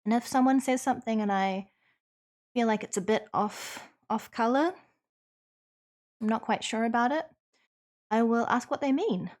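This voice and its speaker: A woman's voice: a little high, moderate pace, pauses often, a little mellow. Earnest New Zealand woman streamer. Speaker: livestream host. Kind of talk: conversational livestream Q&A, partly reading chat aloud.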